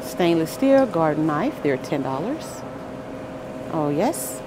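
A woman strolls the aisles of a big store, calling out items and prices at an unhurried pace with a lively, swooping voice. Her phone picks up steady store noise around her. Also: low voice. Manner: upbeat, listing items and prices with little exclamations. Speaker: shopping vlogger. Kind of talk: shop-with-me price walkthrough. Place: aisles of a large grocery store, indoors. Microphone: handheld phone camera.

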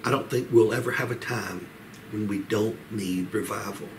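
Measured older man preaching, noisy recording. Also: fairly low pitch, steady tone. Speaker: pastor leading a Bible study. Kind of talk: Bible teaching, expository sermon. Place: small home office, indoors. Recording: clear background room noise.

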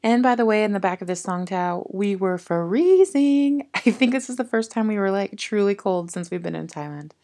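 A woman's voice: medium pitch, lively intonation, moderate pace, few pauses, dark tone. A woman in her thirties chatting casually in a family travel vlog, upbeat and rambling.

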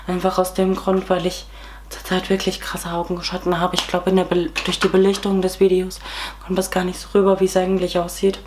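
Young woman, twenties, hoarse with a cold, casual beauty vlogger, faint room hiss behind. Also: medium pitch, darkish tone. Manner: chatty, many fillers, talks while applying makeup. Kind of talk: casual makeup tutorial chat. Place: small room at home, indoors.

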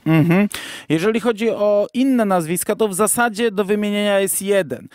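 Higher-voiced man, dry close sound. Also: moderate pace, darkish tone, no echo. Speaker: podcast host. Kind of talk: conversational podcast discussion of audio drama. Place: virtual studio, remote podcast recording.

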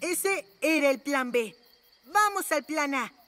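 A woman's voice: high voice, lively intonation, takes it slowly, slight background noise, full character voice. A woman voicing a brave, eager six-year-old boy superhero in a Latin American Spanish cartoon dub, earnest and commanding. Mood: urgent, determined. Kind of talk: dubbed children's cartoon acting. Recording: loud music behind the voice.

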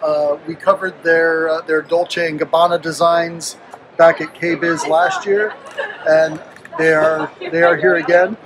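A man interviewing on a trade show floor, asking upbeat, drawn-out questions with filler uhs into a handheld mic. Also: a little high, little pitch movement, noisy background. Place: busy indoor convention hall.

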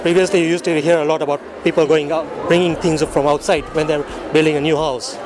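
A man's voice: fairly high pitch, little pitch movement, quick pace, music in the background, poor audio. A man giving unscripted interview remarks as an event visitor. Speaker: expo visitor. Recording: busy crowd chatter behind.

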